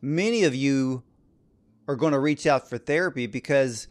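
A man's voice: mid-range voice, normal speed. A man hosting a conversational interview, speaking emphatically and addressing his listeners directly. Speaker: interview host.

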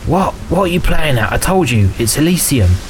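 A man's voice: fairly low pitch, fairly even intonation, moderate pace, dark tone. A man voicing a sly rogue in a fantasy story, by turns sarcastic and wheedling. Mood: peevish. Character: sly, peevish hooded male rogue, obsequious to superiors. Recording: clear background noise.

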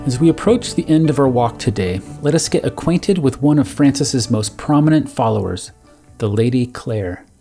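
A man's voice: mid-range voice, lively intonation, normal speed, warm tone. A man narrating a scripted devotional audio guide. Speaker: narrator guiding an audio walk. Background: music.

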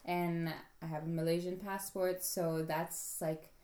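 Low-voiced woman from Hong Kong. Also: little pitch movement, a little slow, warm tone, echoey room. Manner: hesitant, many restarts and fillers. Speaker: interviewee. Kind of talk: casual interview conversation.

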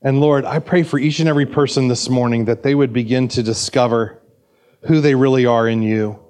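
A man's voice: medium pitch, fairly even intonation, fairly quick, a little mellow. Chatty, joking male pastor. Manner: conversational, jokey, frequent restarts and fillers. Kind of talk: sermon with anecdotes. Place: church service.

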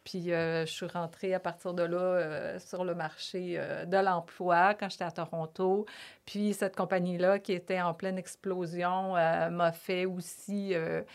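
A woman's voice: fairly low pitch, flat delivery, measured pace. A woman in her fifties from Lévis with a Québécois accent, a guest telling her life story in an interview with many fillers and restarts. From Lévis, Quebec. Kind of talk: conversational interview.

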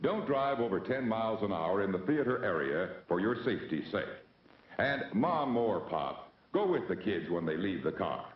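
A man's voice: on the low side, very animated, normal speed. An American man giving a genial, showy mid-century promotional announcement as a drive-in theater announcer. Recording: old, dull, echoing, distant announcement recording.

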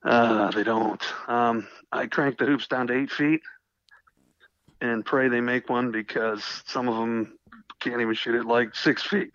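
Male co-host, medium pitch, mildly hoarse, talkative and keen, lo-fi dry podcast sound. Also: steady tone, measured pace, fairly bright tone, dry recording. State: slightly hoarse. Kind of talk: casual podcast chat. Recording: dull, lo-fi sound.

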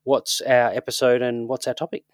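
A man co-hosting a podcast, his voice a little croaky after illness, easygoing as he moves the talk along. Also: mid-range voice, level tone, normal speed, no echo, clean studio sound. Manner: easygoing, steers the show along. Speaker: podcast co-host. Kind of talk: casual podcast chat.

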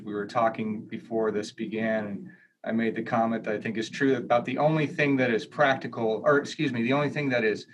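A man talks thoughtfully as a pastor on a video call, measured and hesitant. Clear hiss and background noise sit under his voice the whole time. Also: mid-range voice. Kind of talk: online panel discussion. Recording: noisy video call audio.

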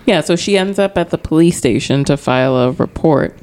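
A podcast co-host recapping a film plot in a relaxed, wry way during a casual chat. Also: mid-range voice, a little fast.

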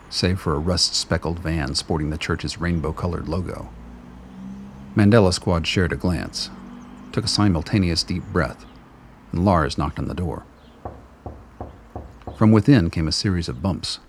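Deep, gravelly, husky male narrator. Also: slight background noise. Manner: measured, descriptive storytelling. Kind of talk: scripted narration.

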